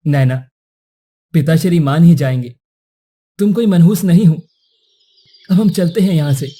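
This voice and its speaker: Casting: man as noble, kindly young prince for cartoon tale; soft dark tone, slightly rough, formal delivery. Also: a little high, moderate pace. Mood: reassuring. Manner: formal, courteous, reassuring. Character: kind, earnest, protective young prince. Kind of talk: Hindi animated moral-story acting.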